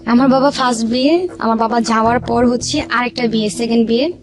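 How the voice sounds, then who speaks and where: A woman's voice: fairly high pitch, steady tone, fairly quick. A young woman tells a personal life story, repeating phrases as she goes. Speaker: guest telling her life story. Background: background music.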